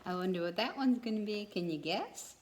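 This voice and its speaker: A woman storyteller reads to children in an echoey room. Her voice sits at a medium pitch, and her delivery is expressive, addressed directly to young listeners. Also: background noise. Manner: pauses to ask young listeners questions.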